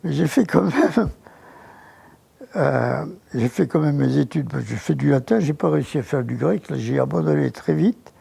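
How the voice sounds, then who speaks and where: An older man reminisces in a heavily gravelly, husky voice, warm and dark in tone. He talks haltingly, often stopping to restart his sentences. He sits for a recorded interview. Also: on the high side, animated. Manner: hesitant, with many false starts.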